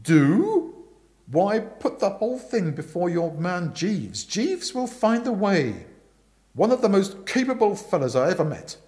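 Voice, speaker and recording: Man acting a bossy aunt, indignant, loud emphatic delivery, widely swooping pitch, storybook reading. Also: very animated, measured pace. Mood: brisk, commanding. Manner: forceful, emphatic, exclamatory.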